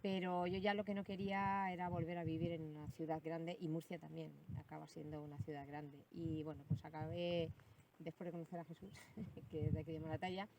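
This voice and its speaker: Woman speaking far from the mic. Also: low voice. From Madrid, Spain. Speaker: interview guest, naturalist. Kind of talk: explanatory interview talk. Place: outdoors in the countryside. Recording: distant mic, noisy outdoors.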